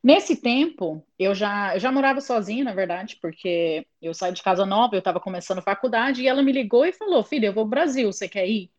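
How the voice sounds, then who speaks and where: A young English woman, a native English speaker, chatting in an interview as a lively storyteller. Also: medium pitch, a little fast, few pauses, muffled recording. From England. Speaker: interview guest. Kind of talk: casual conversation.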